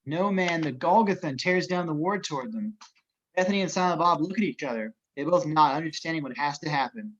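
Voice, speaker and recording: A man's voice: a little high, lively intonation, brisk, highs cut off, slight background noise. A man narrating the action of a script as reader of stage directions in an amateur table reading.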